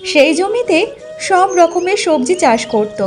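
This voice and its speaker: A woman narrator with a high-pitched voice reads a scripted children's tale. Music plays underneath her storytelling. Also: expressive, a little slow.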